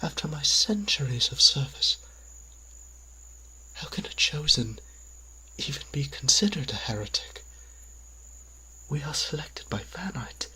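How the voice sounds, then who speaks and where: A husky, intense voice acted by a man for a devout, centuries-old vampire priest in an audio drama. He speaks slowly and haltingly, full of anguish, often sinking near a whisper. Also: fairly high pitch, sing-song, sharp tone, full character voice, rough recording. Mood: shocked, pleading. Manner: slow, halting, pleading. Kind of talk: dramatic audio roleplay acting.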